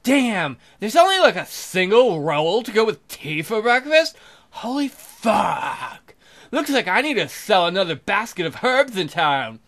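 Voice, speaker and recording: A man's voice: sits high, big pitch swings, measured pace, a bit bright, pitched above the performer's own voice, heavily acted. A man voicing an exasperated, crude-mouthed young maiden from a fairy tale game in an exaggerated, complaining way.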